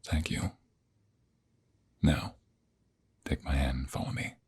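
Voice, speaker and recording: A man voicing a desperate, protective young mobster in an ASMR romance roleplay, intense and emotional, with a husky, breathy, gravelly low voice that often drops to whispers. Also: level tone, takes it slowly, darkish tone, heavily acted. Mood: hushed, urgent.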